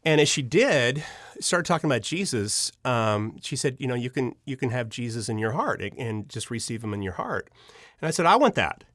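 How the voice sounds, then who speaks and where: A man from Pennsylvania speaking as an interview guest, telling personal stories casually in a rambling, expressive way. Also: mid-range voice, normal speed, dry recording, clean studio sound. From Pennsylvania, United States. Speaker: podcast guest, storyteller. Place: studio.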